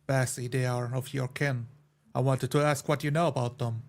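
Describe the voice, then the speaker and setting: Flat-toned man roleplaying. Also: mid-range voice, moderate pace. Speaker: tabletop game player. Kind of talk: in-character roleplay with third-person narration.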